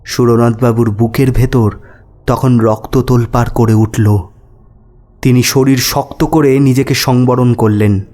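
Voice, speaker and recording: A man's voice: mid-range voice, steady tone, measured pace, mellow. A man narrating a scripted ghost story as a storyteller.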